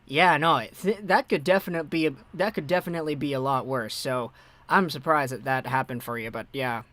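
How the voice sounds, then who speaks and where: A man's voice: medium pitch, a little fast, fairly bright tone. A young man hosting a casual hockey live stream, chatting and answering viewer messages as they come in. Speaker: hockey livestream host.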